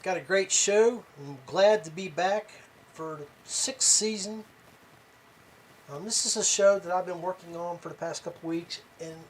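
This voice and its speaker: A man hosting a podcast solo in casual talk, often pausing partway through his phrases. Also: on the high side, slight background noise, rough recording. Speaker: podcast host.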